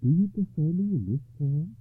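Casting: man putting on a poised enchanted lady, slow and high, a bit husky, tinny phone-like sound. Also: lively intonation. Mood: gentle, poised. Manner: slow, raised and deliberate. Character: enchanted noble lady, calm and wise. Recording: dry phone-like muffled sound.

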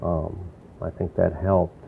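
An older man from New York with a very low, gravelly voice tells long, wandering stories, circling back and restarting his sentences. The recording is thin and tinny like an old phone line, with a faint hiss underneath. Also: flat delivery, slow pace. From New York, USA. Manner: rambling, reflective storytelling with restarts. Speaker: interviewee. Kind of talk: oral history interview.

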